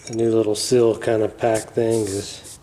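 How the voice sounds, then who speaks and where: A man's voice: lowish voice, unhurried, noisy background. A man hosting a casual repair vlog, talking loosely with lots of fillers as he works on parts in a garage, filming on a phone. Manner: mumbly, relaxed, trailing off with fillers. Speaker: channel host. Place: garage, working on a floor bench.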